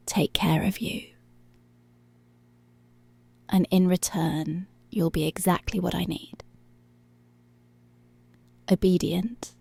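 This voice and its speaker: A woman playing a dominant, seductive policewoman in an intimate ASMR roleplay, giving hushed, deliberate commands that are possessive yet tender, close to the microphone. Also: fairly low pitch, gravelly, husky, no room sound, exaggerated voice. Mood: possessive, soothing. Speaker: ASMR voice actor. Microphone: close, dry microphone.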